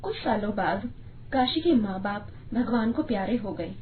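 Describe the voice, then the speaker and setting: A woman's voice: medium pitch, expressive, moderate pace, narrow, tinny audio, slight background noise. A woman giving scripted narration of a moral story as its storyteller.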